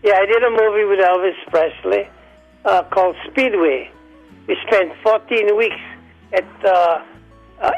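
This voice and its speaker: An older man, an entertainer guest, reminiscing in casual chat with a hoarse, weakened voice and halting, rambling speech full of restarts. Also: high-pitched, rough recording.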